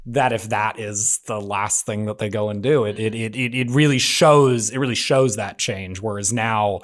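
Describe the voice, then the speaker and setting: A man's voice: fairly low pitch, normal speed. A man chatting casually as a panelist about a script, effusive and often repeating words as he stumbles toward his point.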